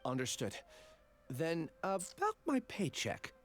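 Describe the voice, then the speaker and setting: A man's voice: a little high, huge pitch swings, slow pace, faint music behind, full character voice. A man voicing an earnest, apologetic young man in a video game. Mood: compliant.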